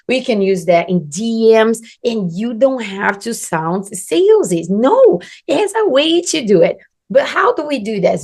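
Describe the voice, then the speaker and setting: A woman from Brazil with a Brazilian Portuguese accent, a business coach giving an earnest, emphatic instructional talk in English as a second language. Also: mid-range voice. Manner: earnest, emphatic, non-native grammar.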